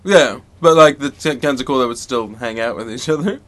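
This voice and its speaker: A man's voice: mid-range voice, heavy background noise. A man co-hosting a podcast, bantering loosely and laughing often between lines. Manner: giggly, drawn-out, loose. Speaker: podcast co-host. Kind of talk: casual banter recording outtakes.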